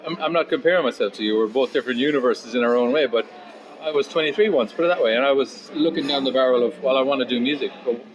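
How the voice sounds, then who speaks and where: A man of fifty talks in a casual, philosophical interview. His manner is blunt and sweary, wandering off into animated asides. His mid-pitched voice is caught on a dull, lo-fi recording. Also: fast, a crowd in the background. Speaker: musician and guitar teacher being interviewed.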